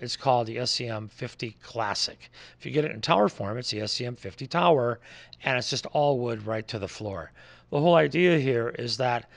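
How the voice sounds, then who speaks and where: A man's voice: mid-range voice, creaky, fairly bright tone. A man gives an informal, conversational product overview, explaining things as a distributor would. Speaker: loudspeaker distributor presenting products.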